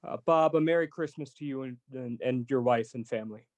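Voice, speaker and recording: An actor playing a good-natured young nephew in a radio play, speaking earnestly and kindly. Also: male voice, medium pitch, brisk, a little mellow, lo-fi sound, close to an everyday voice. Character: cheerful, warm-hearted young nephew.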